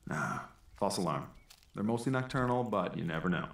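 A man's voice: low voice, expressive, normal speed, gravelly, reverberant. A man acting in an audio drama as an eager outdoor guide, explaining with urgency. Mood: wary, watchful.

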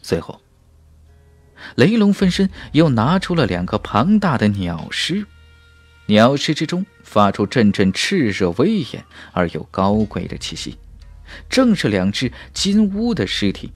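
A man's voice: mid-range voice, sing-song, measured pace, slightly rough, dry recording. A man reading a fantasy audiobook as a scripted, dramatic narration with emphatic storytelling. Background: background music.

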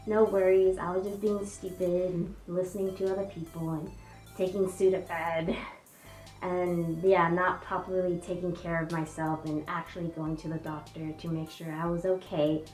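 A woman's voice: lowish voice, level tone, moderate pace, darkish tone. A young woman vlogging to camera in a casual, bubbly way, with many fillers and restarts, her voice muffled by a fursuit head. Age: young adult. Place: small room indoors. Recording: echoey room with faint music.

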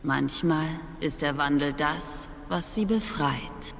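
An actress playing a proud, aloof young witch in a video game, with measured, formal, disdainful speech. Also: sits low. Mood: grave. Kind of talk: dramatic video game dialogue. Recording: long reverb, noisy game ambience.

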